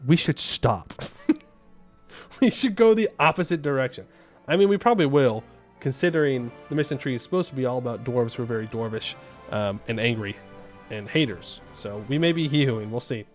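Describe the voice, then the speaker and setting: A man's voice: mid-range voice, expressive, fairly quick, soft background music. A man chatting casually on a game livestream, reading and answering viewer comments in a playful, joking way and often repeating his phrases. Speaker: game streamer.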